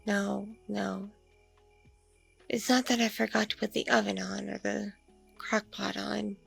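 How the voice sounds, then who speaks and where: A woman playing a seductive, hungry mature vampire mother in an intimate ASMR roleplay, teasing and condescending, breathy and slipping into whispers. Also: mid-range voice, flat delivery, a bit bright, soft background music, heavily acted. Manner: slow, teasing, hesitant hums and pauses.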